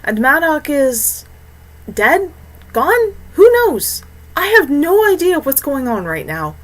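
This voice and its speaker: A woman's voice: sits high, very animated, slow pace, pitched above the performer's own voice, full character voice. A woman voicing a wounded, bewildered young man in a fantasy audiobook, exasperated and full of questions. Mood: distressed, bewildered. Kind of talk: audiobook character acting.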